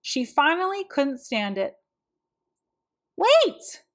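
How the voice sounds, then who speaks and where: A woman reads a children's story aloud in a high voice. Her pace is very slow, and her delivery is expressive, made for young listeners.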